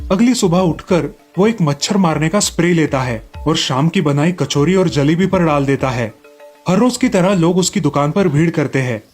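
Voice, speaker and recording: A man's voice: a little high, a little mellow. A man reading scripted narration for children's moral stories as the storyteller.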